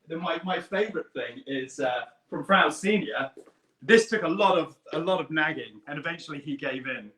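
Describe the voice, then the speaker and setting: A man telling eager, rambling stories on a video call, like an excited fan. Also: on the high side, moderate pace, rough recording. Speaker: guest puppeteer and performer. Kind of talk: casual chat.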